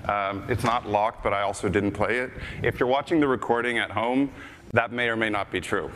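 A man's voice: lowish voice, fairly quick, fairly bright tone. Middle-aged Dutch man with American-sounding accent, casual conference speaker, filler-heavy delivery, long hall reverb, faint noise. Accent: American-sounding English. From Netherlands. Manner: casual, joking, many filler uh's and restarts. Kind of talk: technical conference talk. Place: large conference stage.

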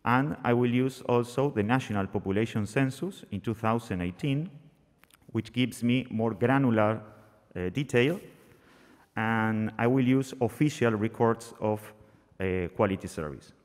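A young man presenting his research at a conference, halting and earnest, with frequent restarts. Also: mid-range voice. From Argentina. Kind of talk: academic talk. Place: conference hall, seated at a panel table, masked. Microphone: desk microphone on a stand. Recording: long reverb of a large hall.

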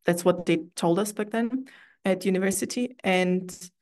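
A young woman from Austria, an English speaker, telling her story in a relaxed, chatty way as an interview guest over a video call from a room at home. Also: lowish voice, moderate pace. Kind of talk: casual interview chat.

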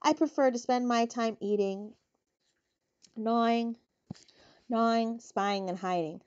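Grumbling, crafty storybook rat, read by a woman; soft and muted, lo-fi sound. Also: a little high, slow, smooth. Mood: sour. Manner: sour, grumbling. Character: sour, greedy, crafty male rat.

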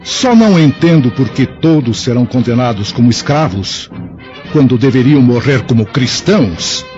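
An actor playing a stern, obedient Roman centurion with a Brazilian accent, speaking formally in a radio play. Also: male voice, mid-range voice, expressive, moderate pace, darkish tone, lightly acted. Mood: puzzled, stern. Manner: formal, theatrical. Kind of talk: dramatized audiobook radio play. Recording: dull lo-fi audio, music behind.

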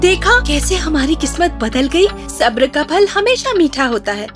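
A woman's voice: sits high, big pitch swings, music in the background. A woman voicing a caring, grateful, sensible shoemaker's wife in a children's cartoon. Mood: grateful, encouraging.

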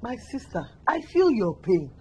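Actress as lively, consoling gossip friend. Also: female voice, a little high, normal speed, slightly rough, dull audio, exaggerated voice. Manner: sympathetic, gossipy. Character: gossiping Nigerian female friend of the mother. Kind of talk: Nollywood-style skit acting.

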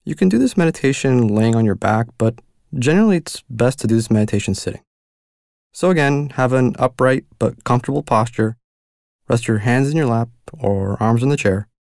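A man leading a guided mindfulness meditation with slow, gentle and soothing instructions. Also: medium pitch, expressive, gravelly, no echo, studio quality.

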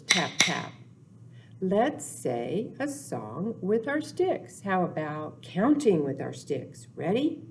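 An older woman in her sixties hosting a storytime for young children, speaking in a deliberate, expressive, simple sing-song teaching way. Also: on the low side. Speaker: children's storytime host at a library. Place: small indoor room, in front of backdrop. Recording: noticeable background noise, clicks of props.

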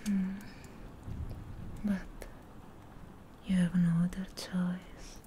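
A young woman in an ASMR fantasy roleplay as a charming, eerie witch, whispering breathily in a deliberate, soothing way, close to the mic. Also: lowish voice, flat delivery, warm tone, a little hiss or hum. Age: young adult. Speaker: ASMR performer.

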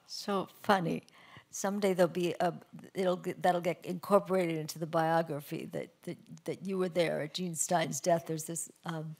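Female moderator, lowish voice, conversational stage discussion, hesitant and rephrasing. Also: steady tone, moderate pace, darkish tone.

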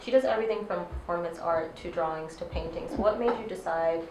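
A woman moderating a live artist talk, asking long, measured questions into a handheld mic. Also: fairly low pitch, a little mellow. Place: small gallery room. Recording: roomy with noisy background.

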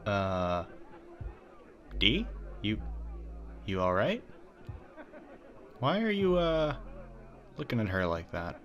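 Low-voiced, exasperated young party guy acted by a man. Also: very animated, a bit bright, lo-fi sound, some chatter behind, heavily acted. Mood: irritated, brusque. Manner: casual, exasperated, halting. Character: cocky young party host, irritated and dismissive. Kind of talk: ASMR roleplay audio drama.

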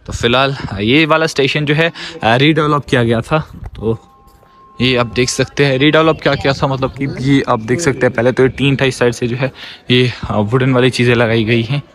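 A young man talks viewers through a railway station in a relaxed, chatty way, pointing things out as he walks. His voice sits at an ordinary middle pitch. It is recorded outdoors on a handheld phone. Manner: chatty, guiding viewers step by step. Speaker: travel vlogger. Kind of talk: walking tour narration.

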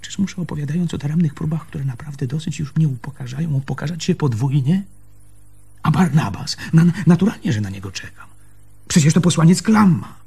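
An actor playing a stubborn, defensive man in a radio play, arguing haltingly and insistently with his lover in a husky voice. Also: on the high side, animated, darkish tone, full character voice. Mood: indignant. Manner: halting, insistent, repeats phrases. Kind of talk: Polish radio play acting.

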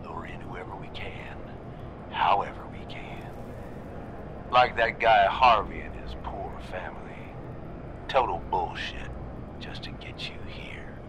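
Low-voiced gruff scheming raider. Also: male voice, a little slow, in a whisper. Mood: sardonic. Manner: blunt, pushy, streetwise. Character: gruff, scheming male raider coaching a captive. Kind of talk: video game character dialogue. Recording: game audio with low rumbling noise.

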